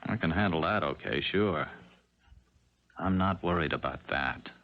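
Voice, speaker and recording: Uneasy, deep-voiced young ex-con. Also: male voice, lively intonation, measured pace, exaggerated voice. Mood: assured. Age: young adult. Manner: hesitant, plain-spoken. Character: uneasy young ex-convict drifter posing as fiancé. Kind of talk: 1970s radio drama acting. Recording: dull 1970s radio recording.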